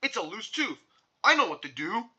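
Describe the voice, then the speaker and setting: A man's voice: high voice, big pitch swings, normal speed, bright, forceful tone, breathy edge, exaggerated voice. A man voicing a concerned, helpful father in a children's storybook, cooing yet matter-of-fact. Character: concerned father eager to help.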